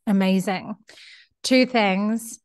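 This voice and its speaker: A woman's voice: medium pitch, drawn-out words, clean tone. A young adult woman from Australia hosting a podcast, enthusiastic and chatty in a casual interview. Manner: enthusiastic, chatty, many "like" fillers. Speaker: podcast host.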